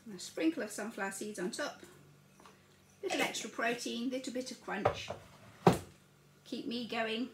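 A woman's voice: fairly high pitch, moderate pace, background noise. An older woman chatting through a breakfast recipe in her home kitchen, talking each step through as she works. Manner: chatty, narrates each step while working. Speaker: home cooking vlogger. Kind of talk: casual cooking demonstration. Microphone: camera across the counter. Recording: echoey kitchen with clattering dishes.

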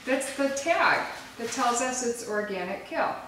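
A woman's voice: a little high, lively intonation, normal speed, a bit bright, a little hiss or hum. Woman cooking in an echoey, clattering kitchen. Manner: patient, coaxing with small children. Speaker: mother hosting a home cooking video. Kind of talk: casual cooking demonstration, chatting with children. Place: large home kitchen, indoors. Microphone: camera across the counter. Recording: echoey kitchen, distant mic, clattering pans. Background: dishes, pans, clicks, small children.

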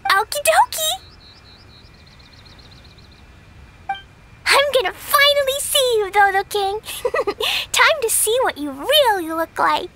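Very high little girl, slow-paced, childlike, earnest, video game acting. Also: big pitch swings, bright, forceful tone, full character voice. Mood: eager, trusting. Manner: childlike, wide-eyed, earnest. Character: excitable, earnest little girl adventurer, sweet and anxious. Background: game music.

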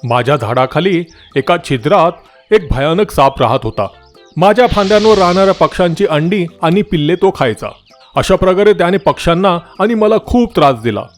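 A man voicing a stern but secretly kind talking tree in a children's moral story cartoon, with emphatic, drawn-out scolding. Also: high-pitched, lively intonation, faint music behind. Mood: sorrowful, kind.

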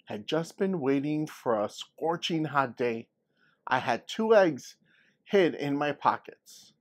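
A man reading a storybook as a folksy elderly Southern grandmother telling tales of her childhood. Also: mid-range voice. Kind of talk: storybook read-aloud.

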